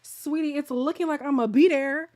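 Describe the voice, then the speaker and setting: Chatty woman streamer, slightly hoarse. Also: high-pitched, moderate pace. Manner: chatty, excitable, laughs often. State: losing her voice, a little hoarse. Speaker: streamer hosting a casual live chat. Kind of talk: casual livestream chat with viewers.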